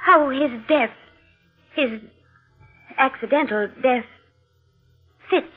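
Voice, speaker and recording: An actress playing an anxious, secretive wife in a 1940s radio mystery, speaking with nervous hesitations and repeated words. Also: female voice, high voice, slow, slightly rough, soft background music, heavily acted. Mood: tense, confessional. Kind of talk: 1940s radio drama acting, confessional narration. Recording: narrow-band vintage radio recording.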